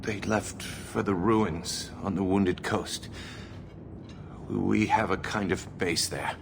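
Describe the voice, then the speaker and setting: An actor playing an anxious rebel mage in a video game, pleading earnestly and nervously. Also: male voice, deep voice, fairly even intonation, slow pace, gravelly, background noise, heavily acted. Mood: earnest urging. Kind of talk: dramatic video game dialogue.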